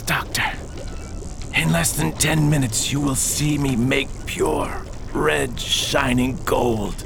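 An actor playing an obsessed, bitter elderly alchemist father in a radio drama, creaky and theatrically declamatory. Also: fairly high pitch, noisy background, full character voice. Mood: proud anticipation.

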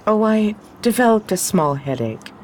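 A woman's voice: medium pitch, big pitch swings, moderate pace, a little mellow, a little hiss or hum, close to an everyday voice. A woman playing a bold, curious, teasing heroine in a 1920s period radio crime drama. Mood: sociable, curious. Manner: sociable, probing, slangy.

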